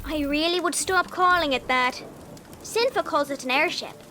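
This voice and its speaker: Tiny spunky fairy girl played by a male narrator, very high, bright and pert. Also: normal speed, slight background noise, full character voice. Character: spunky little female fairy.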